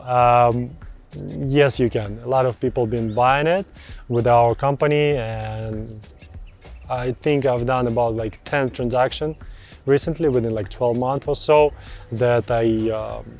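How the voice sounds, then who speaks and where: A man in his thirties from Russia, speaking English with a Russian accent as a real estate broker, answering viewer questions in a relaxed, chatty way and often repeating words for emphasis. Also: medium pitch, measured pace, faint music behind. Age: 30s.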